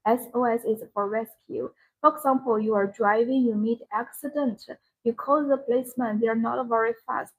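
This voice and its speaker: A woman's voice: fairly high pitch, slightly rough, rough recording. A woman who speaks English as a second language, presenting products on a live sales stream in simple, short, repeated phrases. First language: not English.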